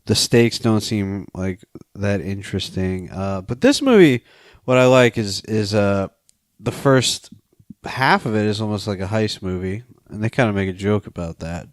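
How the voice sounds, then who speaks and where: A man's voice: on the low side, normal speed, no room sound, studio quality. A man co-hosting a film podcast, talking casually with slangy, profane wording.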